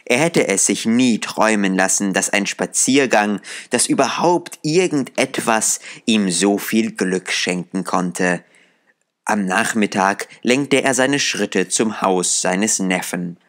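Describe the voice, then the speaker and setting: Männlicher Hörbuchsprecher, tief und bedächtig. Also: male voice, lowish voice, fairly bright tone. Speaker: audiobook narrator. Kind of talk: scripted narration.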